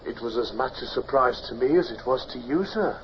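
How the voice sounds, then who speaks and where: A man's voice: medium pitch, expressive, brisk, natural voice. Man playing an obsequious ship's gunner, muffled. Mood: servile, protesting. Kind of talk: period radio drama acting. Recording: dull old radio recording with hiss.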